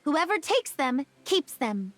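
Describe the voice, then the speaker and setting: High-voiced, forthright young tribeswoman. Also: very animated, slow, no echo, full character voice. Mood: frank, friendly. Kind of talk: romance game voice acting. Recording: music behind the voice.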